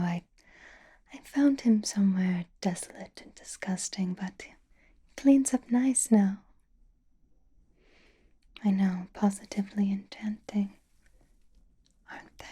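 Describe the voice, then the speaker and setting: Casting: woman as a haughty, seductive vampire for ASMR roleplay, very breathy whisper, unhurried and languid. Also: medium pitch, warm tone. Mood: smug, possessive. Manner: intimate, languid, disdainful, drawn-out phrasing with pauses. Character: possessive, haughty, flirtatious vampire doting on a mortal.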